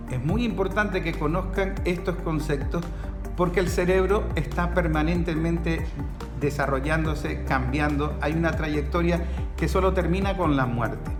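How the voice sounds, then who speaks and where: A man hosts a podcast in a slightly raised voice. He explains things with measured, drawn-out phrasing. The room around him gives a roomy echo. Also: a little high. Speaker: podcast host. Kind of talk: podcast interview.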